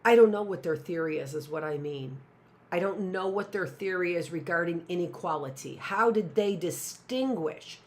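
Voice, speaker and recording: A woman's voice: on the low side, expressive, moderate pace. A middle-aged woman teaching a live online class, rambling with sarcastic asides and talking to herself.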